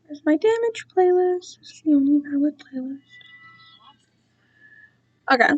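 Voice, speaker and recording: A woman's voice: high-pitched, expressive, unhurried, dry recording, lo-fi sound. A woman chatting casually to viewers on a live stream, rambling and self-deprecating, easily drifting off track. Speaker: streamer reading own fan-written work to viewers.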